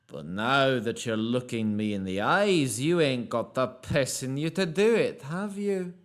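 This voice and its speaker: A man plays a dying rogue in a fantasy audio drama, bitter and defiant. He talks very slowly at an ordinary male pitch, cursing and accusing with a sardonic edge. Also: expressive, lightly acted. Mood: weary, bitter. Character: bitter, foul-mouthed dying rogue, brandy-soaked and defiant.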